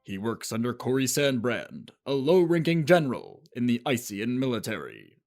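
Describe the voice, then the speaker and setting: A man's voice: medium pitch, sing-song, normal speed, darkish tone. A man reading scripted storybook narration as the narrator of a fantasy tale. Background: music.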